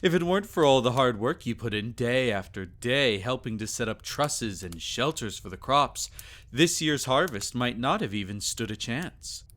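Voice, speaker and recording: A man playing a teasing, doting vampire lord in an intimate ASMR romance roleplay, with soft chuckles and sighs. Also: medium pitch, expressive, normal speed, close to an everyday voice. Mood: warm, fond.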